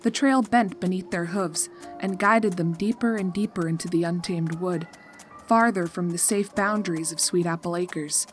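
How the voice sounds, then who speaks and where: Lowish-voiced woman narrating a story. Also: normal speed, soft background music. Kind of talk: scripted narration of a horror fan story.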